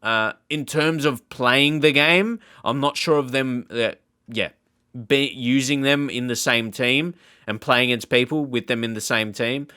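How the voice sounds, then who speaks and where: A man hosting a gaming channel, giving casual, off-the-cuff reaction commentary in a rambling, halting, informal way. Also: medium pitch, pushed and bright, smooth, no echo, clean studio sound.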